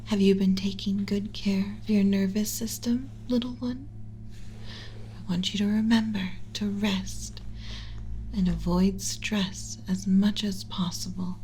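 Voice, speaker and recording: Soft, mellow alien mother ASMR, acted by a woman. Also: mid-range voice, slight background noise, rough recording. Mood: tender, soothing. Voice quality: breathy, soft, often whispering. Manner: soothing, deliberate, clinical yet tender. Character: doting alien mother lecturing on her human pet. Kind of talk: ASMR roleplay, partly whispered.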